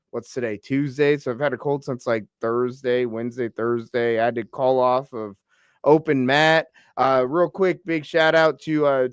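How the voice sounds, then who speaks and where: Stuffy-nosed podcast host, smooth dry voice. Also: male voice, medium pitch, no echo. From Pacific Northwest, US. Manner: laid-back, lots of fillers and "bro". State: stuffy from a cold. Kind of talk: casual interview chat.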